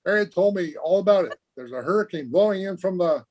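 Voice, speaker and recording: A man's voice: sits high, animated, fast, darkish tone. A man playing a dutiful, eager teenage student in an old-time radio comedy style. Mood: eager, obliging.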